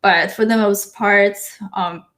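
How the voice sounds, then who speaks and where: A woman's voice: mid-range voice, steady tone, slow, fairly bright tone. A woman in her thirties from Ukraine, a marketing guest on a podcast who chats casually and laughs often. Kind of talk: casual interview chat.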